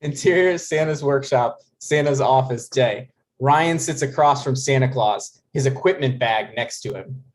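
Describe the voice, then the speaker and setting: A man narrates a script, reading scene headings and stage directions. His voice sits at an average pitch. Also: measured pace, hardly any pauses.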